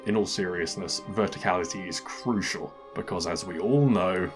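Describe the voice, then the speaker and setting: A man's voice: low voice, moderate pace, over music. A man hosting a scripted comedic video game review, narrating in a dry, wry manner.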